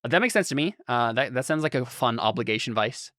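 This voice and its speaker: A man's voice: mid-range voice, animated, a little fast, pushed and bright, no room sound, clean studio sound. A man as game master of a tabletop game, talking casually with players, with many fillers and restarts. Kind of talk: casual game chat, reading chat.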